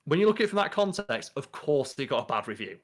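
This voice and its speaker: A young man co-hosting a podcast in casual chat, talking in an animated, profane way. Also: medium pitch, fast talker. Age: young adult. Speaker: podcast co-host. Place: bedroom, on a video call.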